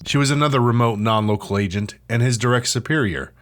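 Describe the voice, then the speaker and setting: A man narrating an interactive audio drama in a suspenseful, theatrical scripted style with dramatic pauses. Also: medium pitch. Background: music.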